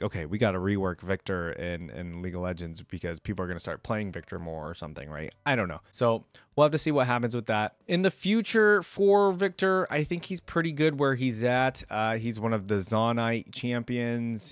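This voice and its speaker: A man's voice: mid-range voice, no echo. A young man giving casual, rambling reaction commentary into a close desk microphone as a video host. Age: young adult. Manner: rambling, conversational. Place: indoor gaming setup.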